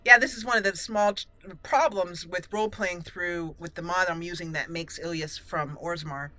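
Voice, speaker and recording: Bright-voiced woman doing game roleplay commentary. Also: on the low side, steady tone, moderate pace. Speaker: gaming host. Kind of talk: roleplay let's play commentary.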